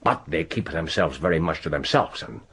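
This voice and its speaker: Deep, lively Japanese spymaster. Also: male voice, brisk, full character voice. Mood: suave. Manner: formal, hesitant interjections, effusive. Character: genial, courteous Japanese spymaster, middle-aged man, commanding. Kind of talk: radio drama acting. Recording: noisy radio drama with background ambience.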